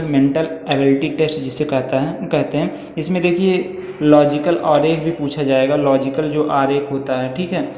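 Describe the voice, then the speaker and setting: A man's voice: a little high, mellow. A man explaining exam material informally like an online coaching teacher, reassuring and often checking in with listeners. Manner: reassuring, repetitive check-in phrases. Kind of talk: informal explanatory lesson, reading through a syllabus. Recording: echoey reverberant room, muffled, faint noise.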